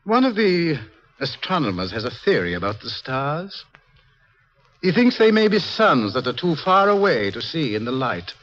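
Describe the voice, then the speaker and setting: An actor playing a composed scientist who explains things deliberately and dryly in a 1950s radio drama. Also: male voice, a little high, big pitch swings, a bit bright. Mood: musing, explaining. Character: composed, lecturing male scientist at a doomed observatory. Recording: dull lo-fi 1950s radio recording.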